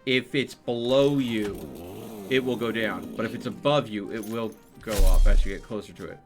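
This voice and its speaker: A man's voice: on the low side, moderate pace. A man giving casual live gameplay commentary, thinking aloud with playful exclamations. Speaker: video game streamer. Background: video game music.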